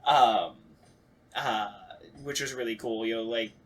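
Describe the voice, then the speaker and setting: A man's voice: medium pitch, unhurried, a bit bright. Man chatting casually, telling stories. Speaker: podcast host. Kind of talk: casual chat about radio plays.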